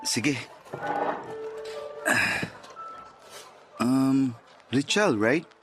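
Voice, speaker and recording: A man's voice: mid-range voice, expressive, drawn-out words, music in the background. An actor playing an uneasy, exasperated man of about thirty in a Filipino radio drama, hesitant and protesting. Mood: awkward.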